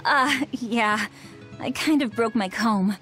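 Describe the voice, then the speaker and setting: A woman voicing an earnest, spirited teenage village huntress in a video game, expressive in her delivery. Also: a little high, takes it slowly. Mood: cheerful. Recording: music under the dialogue.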